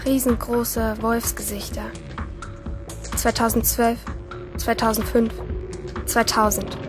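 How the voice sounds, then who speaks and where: A woman's voice: a little high, flat delivery, slow, over music. A woman playing a rebellious, lonely teenage girl who narrates her thoughts intimately in a German radio play. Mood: shaken.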